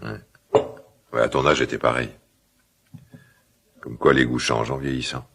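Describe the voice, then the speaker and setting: A middle-aged actor playing a gruff but tender, old-fashioned father in a naturalistic radio drama, speaking in halting, musing phrases. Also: a bass voice, flat delivery, a bit bright, exaggerated voice. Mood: fond, mildly grumbling. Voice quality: gravelly, husky.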